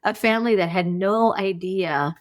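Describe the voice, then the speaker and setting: A middle-aged woman chatting casually as a podcast guest, expressive and informal in the way she talks. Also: mid-range voice, moderate pace. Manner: expressive, informal, peppers talk with "like" and "you know". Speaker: guest, farmer and former academic. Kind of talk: casual, conversational podcast chat.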